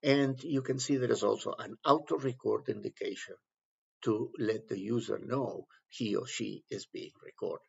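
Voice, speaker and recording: A man instructing step by step through a software tutorial, explaining in halting phrases. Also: on the low side, gravelly, breathy edge. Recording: dull, lo-fi voice-over recording.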